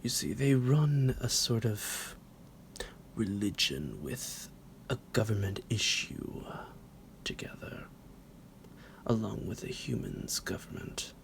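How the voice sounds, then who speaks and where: A menacing vampire doctor, very low and heavily creaking. He draws his words out slowly in a theatrical, teasing ASMR monologue, slipping into a breathy half-whisper like a smug captor toying with prey. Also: sing-song, exaggerated voice. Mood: smug, sinister. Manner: slow, drawn-out, theatrical, halting, with low chuckles.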